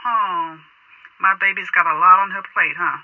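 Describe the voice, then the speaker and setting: A woman's voice: on the low side, steady tone, normal speed, dry recording, phone-quality sound. An actress playing a middle-aged, loving, devout mother, tender and reassuring, through a telephone filter in an audio drama. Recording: thin dry telephone sound.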